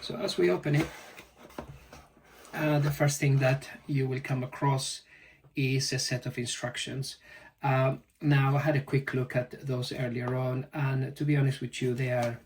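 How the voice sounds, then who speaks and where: A male product reviewer talks casually through an unboxing in a halting way. His mid-pitched voice stays fairly flat and sounds a little far from the microphone, with faint noise behind it. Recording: distant mic, faint background noise.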